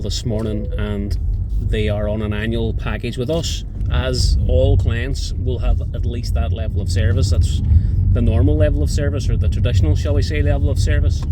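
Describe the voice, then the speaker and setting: A man in his forties talks at a middling pitch, musing aloud and pausing often with filler sounds as he works through his thoughts. Heavy engine and traffic rumble sits behind him, recorded from inside a moving car. He sounds like a casual vlogger rather than a polished presenter. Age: 40s. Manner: thoughtful, hesitant, frequent filler pauses. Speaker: accountant. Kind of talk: casual vlog to camera. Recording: loud road and car noise behind. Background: vehicle and traffic noise.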